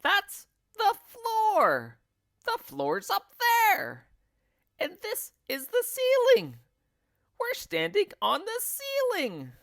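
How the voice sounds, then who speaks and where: Nasty screechy wife from a kids story, super high and hysterical. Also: male voice, wildly swooping pitch, drawn-out words, creaky, a bit bright, dry recording, clean studio sound, heavily acted. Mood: panicked. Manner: screechy, scolding, panicky.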